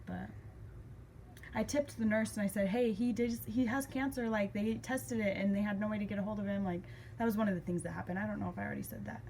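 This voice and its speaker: A woman's voice: mid-range voice, fairly even intonation, fast talker, darkish tone, rough recording. A young woman telling a personal story casually and emotively, acting out remembered conversations as she goes. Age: young adult. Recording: clear background noise.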